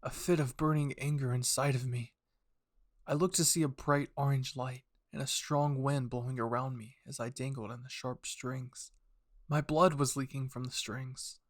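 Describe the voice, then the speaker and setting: A man reads dramatic fiction with an intense delivery. His voice sits at a middling pitch, recorded close and dry with hardly any room sound. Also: steady tone, normal speed, no echo. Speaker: fan fiction narrator. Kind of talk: dramatic scripted story narration.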